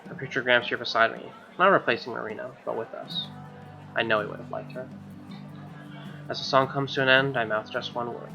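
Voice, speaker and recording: A man's voice: medium pitch, steady tone, brisk, gravelly, muffled recording, music in the background. A man doing casual game commentary and reading aloud from a visual novel.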